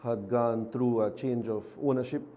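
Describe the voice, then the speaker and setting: A man who is a city mayor, speaking as a TV studio guest in measured, formal terms. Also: medium pitch, fairly even intonation, mellow, dull audio, a little hiss or hum. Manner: measured, formal civic phrasing. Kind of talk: TV interview guest.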